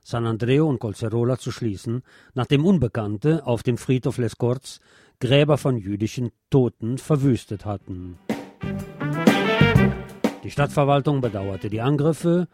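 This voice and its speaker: A man's voice: mid-range voice, unhurried, dark tone, faint music behind. A man presenting a scripted radio magazine with news and commentary, phrasing things in a wry, dramatic way.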